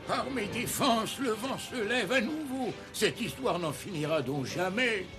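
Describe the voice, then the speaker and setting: A man's voice: sits high, expressive, a little slow. A man voicing an anxious, exclaiming elephant aide in a French cartoon. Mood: alarmed. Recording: music behind the voice.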